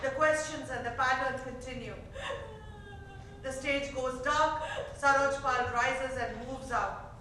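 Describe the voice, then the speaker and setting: A woman narrates stage directions in a high, bright voice. She reads from a script, heard at a distance in a very reverberant theater with faint noise.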